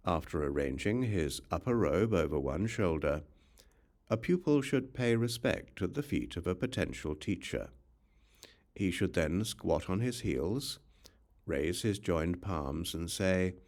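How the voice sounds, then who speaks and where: A man narrating a scripture audiobook in a measured, instructional manner. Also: sits low, a little mellow. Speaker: audiobook reader.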